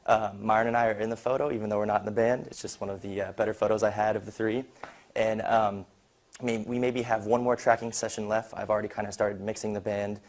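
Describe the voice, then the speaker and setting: A man's voice: fairly low pitch, flat delivery, quick pace. A young man giving an informal student slide presentation in a casual, conversational way. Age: young adult.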